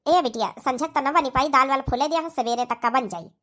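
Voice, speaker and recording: A woman's voice: sits high, brisk, fairly bright tone. A woman voicing a doting older village mother-in-law with an Awadhi accent in a comedy cartoon. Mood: fond.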